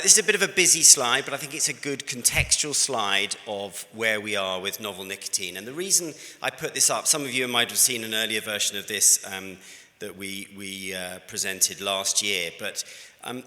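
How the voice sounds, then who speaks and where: A British man gives a conference talk in a loose, conversational way, stopping and restarting his sentences. His lowish voice has a bright, forceful edge. The sound carries a long reverb from a large hall. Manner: conversational, with frequent fillers and restarts. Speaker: conference speaker. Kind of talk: conference presentation.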